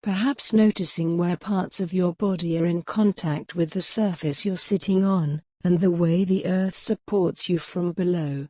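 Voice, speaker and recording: Female meditation guide, lowish pitch, very unhurried and measured, close dry sound. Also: steady tone, dry recording. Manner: measured, instructional, gently pausing between phrases.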